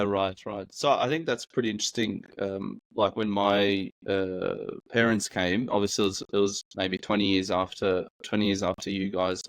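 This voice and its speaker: A man's voice: sits low, dry recording, rough recording. A man hosting an interview, asking loose, rambling questions with fillers. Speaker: interviewer, host.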